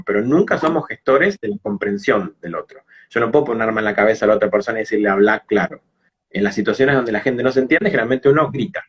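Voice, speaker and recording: A man's voice: mid-range voice, breathy edge. A man with a Rioplatense Argentine accent, speaking as an English teacher in an interview, explaining things didactically with everyday examples. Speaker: English teacher and phonetics specialist being interviewed.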